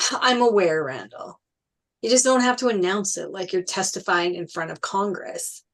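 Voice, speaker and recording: An actor playing a teasing, jokey brother in a naturalistic family drama table read. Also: male voice, sits high, moderate pace, a bit bright. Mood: teasing, exasperated.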